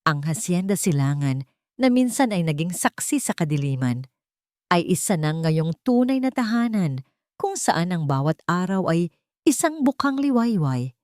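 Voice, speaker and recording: Low-pitched woman storyteller, animated intonation. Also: moderate pace, dry recording, studio quality. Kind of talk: scripted romantic story narration.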